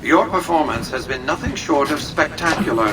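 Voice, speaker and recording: A man's voice: on the high side, animated, music in the background, natural voice. Man playing a suave tycoon, somewhat distant. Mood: self-satisfied, grandiose. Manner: measured, formal, grandiose. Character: suave, calculating male autocratic casino tycoon. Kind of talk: video game monologue.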